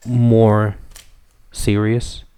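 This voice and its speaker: Deep, mellow-voiced man, native Farsi speaker. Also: flat delivery, clear, resonant tone. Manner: reflective, thinks aloud with many "uh" pauses. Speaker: podcast co-host. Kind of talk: casual podcast conversation.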